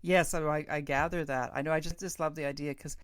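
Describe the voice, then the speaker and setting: A woman's voice: sits low, steady tone, quick pace, dry recording, clean studio sound. A middle-aged woman hosting a casual interview, informal, encouraging and enthusiastic in how she talks. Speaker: podcast host and interviewer.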